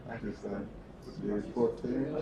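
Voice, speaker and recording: A man's voice: mid-range voice, unhurried, mellow. A man, a workshop participant giving hesitant answers in short phrases. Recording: distant, dull, echoey room pickup.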